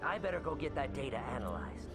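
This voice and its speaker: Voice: medium pitch, big pitch swings, quick pace, natural voice. An actor playing a nervous, evasive character who stammers and turns defensive. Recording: game scene with music.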